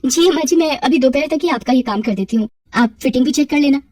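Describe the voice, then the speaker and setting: A woman voicing a dutiful, gentle young widowed mother who speaks politely in a cartoon story. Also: high-pitched, fast talker. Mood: respectful. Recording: roomy echo, distant from mic.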